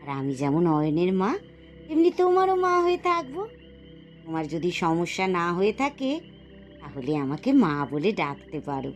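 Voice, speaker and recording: A woman's voice: fairly low pitch, very animated, moderate pace. A woman voicing a caring, coaxing middle-aged mother in a cartoon moral story. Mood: kindly. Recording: music behind the voice.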